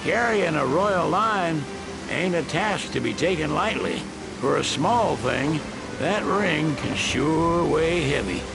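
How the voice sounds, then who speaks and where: A man's voice: fairly high pitch, animated, slow pace, creaky, over music, exaggerated voice, low-quality recording. An actor playing a folksy, wistful older male mechanic with a Southern American drawl in a video game cutscene.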